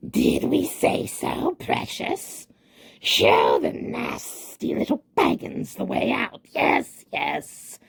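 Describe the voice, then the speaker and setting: A woman reading a storybook and voicing a slimy, wretched male cave creature who hisses and whispers, lurching between squeaky highs and low growls. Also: huge pitch swings, slow, exaggerated voice. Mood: wheedling. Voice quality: hissing, raspy, whispery.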